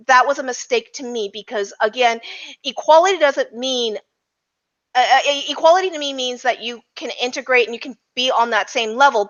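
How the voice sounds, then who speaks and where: A woman with a bright, forceful voice talks through films as a podcast panelist. She is casual but opinionated and emphatic. The audio is somewhat dull and lo-fi, and her pitch sits fairly high. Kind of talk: casual film discussion.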